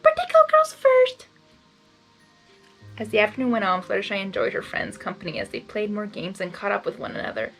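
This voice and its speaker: A woman's voice: medium pitch, big pitch swings, fast, creaky, few pauses, a bit bright, soft background music, poor audio. A woman doing a blind reading of a fan story, stumbling on words and adding gushing asides. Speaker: reader.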